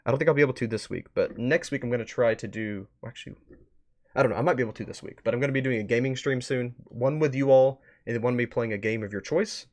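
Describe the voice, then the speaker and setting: A man hosting a livestream in a casual chatty style, rambling a bit as he thinks out loud. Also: medium pitch, fast talker. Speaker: streamer and host. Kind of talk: casual livestream wrap-up chat.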